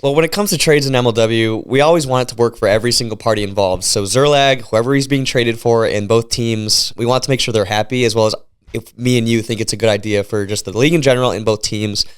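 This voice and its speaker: League commissioner guy with a stuffy cold, quick and upbeat, smooth voice. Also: mid-range voice, fairly even intonation. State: congested from a cold. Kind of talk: casual podcast chat.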